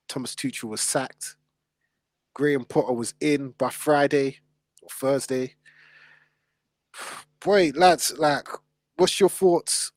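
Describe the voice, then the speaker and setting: Podcast host, male, mid-pitched voice, slangy football chat, dry recording. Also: no room sound. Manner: informal, slangy. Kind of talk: casual football discussion.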